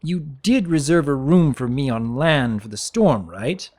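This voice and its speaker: Man voicing a bewildered young-sounding pony baron, swooping pitch. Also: lively intonation, a little slow, darkish tone. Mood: polite, earnest. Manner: hesitant, puzzled, courteous. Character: male pony baron, polite, earnest, easily bewildered.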